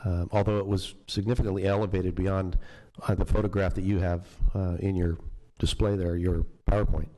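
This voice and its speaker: A man's voice: low voice, flat delivery, brisk, few pauses, mellow, poor audio. A man on a city council, asking a rambling question with many fillers. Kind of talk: questioning at public meeting. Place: council chamber.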